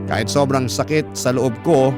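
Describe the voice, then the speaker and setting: A man delivers dramatic first-person radio storytelling in a mid-pitched voice. His phrasing is solemn, emotional and deliberate, and music plays clearly behind him. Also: fairly even intonation. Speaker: story narrator.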